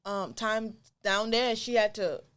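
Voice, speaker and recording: A woman's voice: mid-range voice, fairly even intonation, slow pace, fairly bright tone. A young woman with a Caribbean accent, chatting casually as a podcast co-host in a blunt, emphatic, slangy way. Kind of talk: casual chat.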